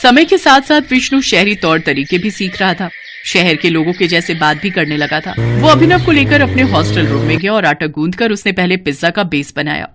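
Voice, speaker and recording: A woman's voice: on the low side, lively intonation, a little fast, over music. A woman narrating a scripted moral story for children as a storyteller.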